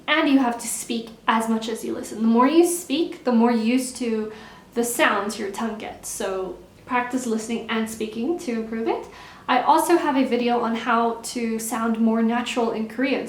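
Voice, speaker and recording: A young woman vlogger chatting casually while answering viewer questions, relaxed and repeating words for emphasis. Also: a little high, normal speed. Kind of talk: casual Q&A chat. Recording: echoey room.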